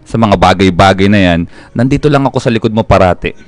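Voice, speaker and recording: A man's voice: fairly low pitch, fast, dry recording, soft background music, natural voice. A man playing a protective but grumbling older brother in a Filipino radio drama. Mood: tender, reassuring.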